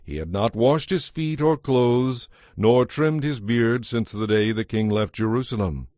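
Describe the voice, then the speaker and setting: A man narrating scripture from a script in a measured, formal manner. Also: mid-range voice, darkish tone, no echo, studio quality.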